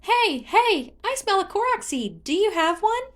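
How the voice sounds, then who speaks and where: A woman voicing an excitable, bubbly, childlike tree spirit who exclaims in a sing-song way in a fantasy story reading. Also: extremely high pitch, measured pace, pitched above the performer's own voice, full character voice. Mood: gleeful.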